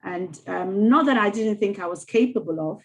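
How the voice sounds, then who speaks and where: Soft-spoken woman, halting speech. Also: medium pitch, a little hiss or hum, rough recording. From Ghana. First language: not English. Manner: soft, halting, with many fillers and restarts. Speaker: mayor, interview guest. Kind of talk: casual interview conversation.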